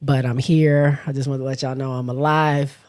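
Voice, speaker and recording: Raspy woman host fighting off a cold, stuffy and hoarse, halting delivery with frequent throat-clearing, casual live chat with viewers. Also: very deep voice, level tone, darkish tone. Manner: halting, often stops to clear her throat. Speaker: livestream host. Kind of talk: casual livestream chat, reading viewer comments.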